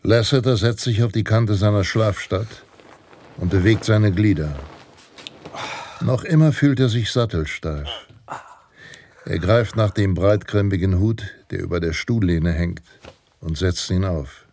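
An actor playing a solemn western storyteller, narrating in a deliberate, portentous manner. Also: male voice, on the low side, darkish tone, slight background noise. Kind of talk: dramatic scripted western narration. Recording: guitar music behind. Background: plucked guitar music.

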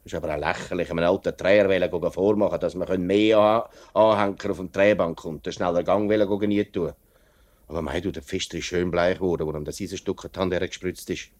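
A man's voice: sits low, steady tone, fast talker, a little mellow, natural voice. An actor playing a hearty, plain-spoken working-class family man in a 1950s Swiss German dialect radio play. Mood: reflective.

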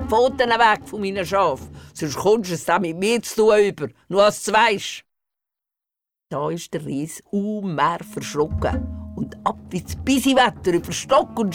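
A woman's voice: medium pitch, close to an everyday voice. A woman playing a spirited old Swiss village grandmother who tells tales in Glarus dialect, emphatic and teasing, in an audio play. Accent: Swiss German, Glarus. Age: older. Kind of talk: animated dialect storytelling in a radio play.

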